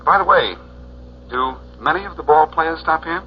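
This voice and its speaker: An actor playing a wisecracking, self-assured amateur sleuth with an American accent in a 1940s radio drama, glib and sardonic. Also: male voice, fairly high pitch, normal speed, close to an everyday voice. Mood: wry, breezy. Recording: dull, noisy 1940s radio recording.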